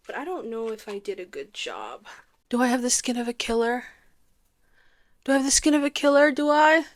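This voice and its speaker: Young female vlogger, animated and sarcastic, slightly high-pitched, comedic rant. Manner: animated, sarcastic, ranting with rhetorical questions. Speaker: movie review vlogger. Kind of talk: casual comedic movie review.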